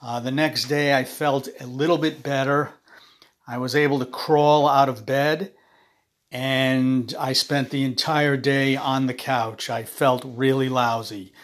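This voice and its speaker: A man hosting his own podcast while ill, his voice raspy, talking haltingly with many ums and uhs into a phone. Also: mid-range voice, steady tone, a bit bright. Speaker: podcast host. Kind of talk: casual solo podcast monologue.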